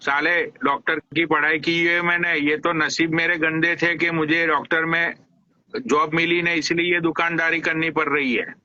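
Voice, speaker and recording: A man's voice: on the high side, flat delivery, normal speed, pushed and bright, lo-fi sound. A man hosting a live stream, teasing his guest with crude, mock-respectful banter and frequent swearing.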